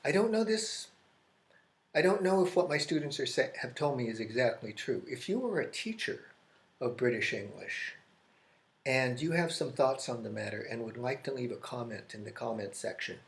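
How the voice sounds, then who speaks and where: An American man teaching English grammar in a classroom. He explains slowly, repeats key phrases and restarts sentences midway. His medium-pitched voice rings out in an echoey room. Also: expressive. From United States. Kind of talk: classroom grammar lesson at a whiteboard.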